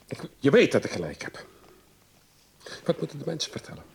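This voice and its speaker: A man's voice: medium pitch, huge pitch swings, a little fast, vocal fry, darkish tone, slightly rough, full character voice. An actor in a radio play playing a domineering middle-aged husband who scolds his wife in a stern, condescending, exasperated way. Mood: indignant, contemptuous.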